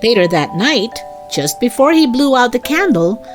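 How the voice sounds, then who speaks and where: A woman reading a children's story aloud as an expressive storyteller. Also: fairly high pitch, normal speed, soft background music.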